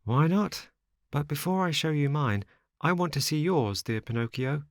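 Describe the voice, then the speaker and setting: A man's voice: on the high side, expressive, normal speed, dry recording, clean studio sound, pitched above the performer's own voice, lightly acted. A man voicing a mischievous boy in a storybook, evasive and mock-polite in manner.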